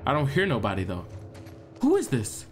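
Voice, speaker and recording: A young man with a stuffed-up nose giving animated, joking gameplay commentary to his viewers. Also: mid-range voice, moderate pace, soft background music. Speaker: gaming streamer.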